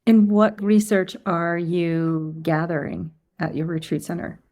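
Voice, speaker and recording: Woman hosting a podcast, lowish voice. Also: fairly even intonation, a little slow, a little mellow. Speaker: podcast host. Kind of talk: interview hosting.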